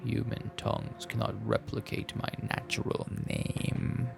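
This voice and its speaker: A man voicing a malicious, guarded female monster in a visual novel game, with a slow, husky, breathy growl. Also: on the low side, level tone, music in the background. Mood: scornful. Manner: slow, menacing, curt.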